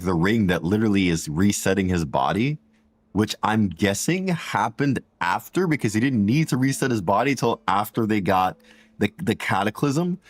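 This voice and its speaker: A man with a deep voice streaming a game, chatting casually and rambling to viewers with slang. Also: no echo. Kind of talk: casual gameplay livestream chat. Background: game music.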